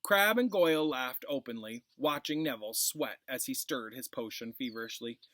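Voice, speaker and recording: Animated male teacher reading aloud. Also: medium pitch, normal speed, no room sound. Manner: informal asides. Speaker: classroom teacher. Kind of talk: expressive read-aloud for pupils.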